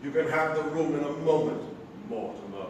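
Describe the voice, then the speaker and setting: An actor playing a sadistic, coldly threatening villain brother in a comic stage play, deliberate and taunting. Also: male voice, on the high side, animated, natural voice. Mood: menacing. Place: small theatre, live performance. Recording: echoey, noisy stage recording from far off.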